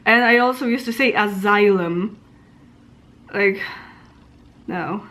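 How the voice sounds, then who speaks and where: A woman's voice: medium pitch, takes it slowly, a bit bright. A woman in her twenties with a Polish accent, chatting informally and self-deprecatingly as she muses aloud. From Poland. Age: 20s. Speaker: vlogger. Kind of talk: casual chat about pronunciation.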